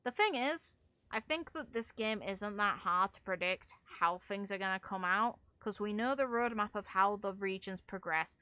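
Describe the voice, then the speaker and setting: A woman's voice: medium pitch, moderate pace, sharp tone, dry recording. A young woman giving casual, opinionated game commentary in a blunt way, swearing freely. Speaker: gaming content creator.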